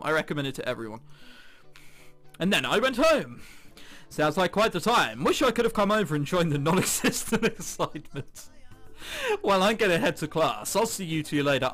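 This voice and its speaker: Male gaming commentator, bright high voice, giggly and excitable, reading game text over clear background music. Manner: excitable, giggly, exaggerated reactions. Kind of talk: let's play commentary, reading visual novel text aloud.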